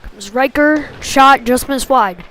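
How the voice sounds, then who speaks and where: High-pitched woman calling hockey play-by-play. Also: slow pace, fairly bright tone. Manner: short clipped phrases, following play as it happens. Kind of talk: live sports commentary.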